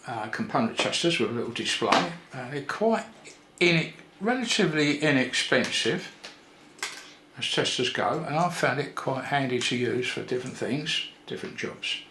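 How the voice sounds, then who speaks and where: An older man talks through a hands-on repair job in a casual, unhurried way, often pausing to think aloud. His mid-pitched voice carries noticeable room echo. There is faint noise behind him. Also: lively intonation. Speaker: electronics repair presenter. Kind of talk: casual hands-on tutorial narration.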